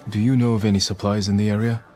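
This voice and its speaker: Casting: man as grave, resolute samurai lord, low calm voice, game cutscene, music behind. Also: fast, natural voice. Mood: measured. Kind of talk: dramatic video game acting.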